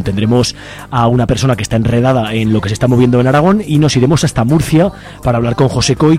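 Fast-talking Spanish man presenting radio. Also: mid-range voice, darkish tone. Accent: Spanish from Spain. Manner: informal, chatty. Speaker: radio co-host. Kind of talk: casual live community radio presenting. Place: radio studio, live broadcast. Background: music playing behind.

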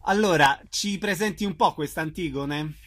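A man's voice: on the high side, moderate pace, fairly bright tone. A man hosting a radio interview show about theatre, introducing and greeting guests.

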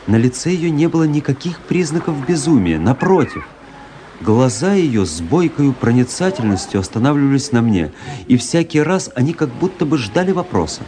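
An actor playing a self-assured, ironic young officer who tells his story in measured, literary fashion in a radio drama. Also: medium pitch, big pitch swings, background noise, lightly acted. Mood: fascinated, observing.

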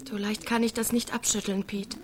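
A woman's voice: on the high side, level tone, brisk, slight background noise, natural voice. A young woman playing a manipulative village teenage girl in a naturalistic radio drama, needy, coaxing and bent on revenge. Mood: vengeful. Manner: insistent, coaxing.

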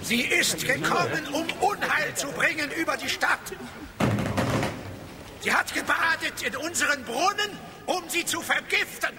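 An actor playing a stern accuser who solemnly proclaims a witch's sentence in a radio drama pageant, slow and declamatory. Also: very high voice. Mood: solemn condemnation. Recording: loud crowd and music around the voice.

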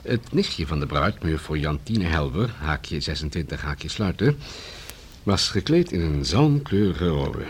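An actor in a Dutch radio play playing a dry, laconic police detective, a sidekick of few words. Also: male voice, a bass voice, very animated, a little slow, breathy edge, heavily acted. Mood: reading aloud, flat.

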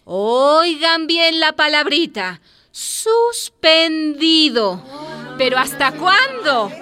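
A woman playing a spirited, devout community leader, firm yet warm and emphatic, in a radio drama. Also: sits high, big pitch swings, extremely slow, exaggerated voice. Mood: rallying.

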